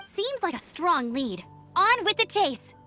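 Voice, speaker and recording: Eager young knight scout girl in a game, bright-eyed n earnest, high voice, music behind. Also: very animated, a little slow, full character voice. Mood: eager, determined. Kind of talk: video game voice acting.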